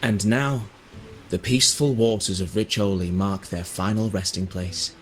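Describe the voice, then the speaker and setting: A man playing a thoughtful, earnest seafarer in a video game, musing in a reflective and deliberate way. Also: lowish voice, steady tone, a little hiss or hum, natural voice, low-quality recording. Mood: solemn, wistful. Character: thoughtful adult male seafarer, earnest and wistful. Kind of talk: video game voice acting, narrative dialogue.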